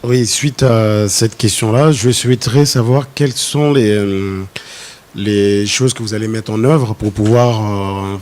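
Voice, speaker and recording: Low-voiced probing jury man. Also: a little mellow. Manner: measured, probing, lecturing, with fillers. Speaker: jury member. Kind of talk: interview questioning.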